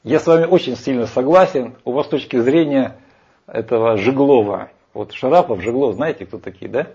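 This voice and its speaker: A man's voice: medium pitch, a little fast, mellow, breathy edge. A man arguing emphatically in a heated discussion, rambling with hesitations. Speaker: speaker lecturing on Vedic scripture. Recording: dull lo-fi sound.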